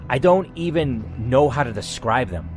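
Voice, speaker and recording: A man's voice: medium pitch, expressive, normal speed, soft background music, natural voice. A man voicing a terrified, guarded woman scientist in her late thirties from a horror game story. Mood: afraid, warning. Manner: fearful, guarded.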